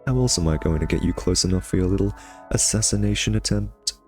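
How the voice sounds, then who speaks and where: Very deep, teasing male vampire, acted by a man. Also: fast talker, a little mellow, faint music behind, lightly acted. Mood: smug, teasing. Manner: teasing, sardonic, taunting. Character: cocky, teasing male vampire, bored and sardonic nemesis. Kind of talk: ASMR roleplay audio drama, intimate address to listener.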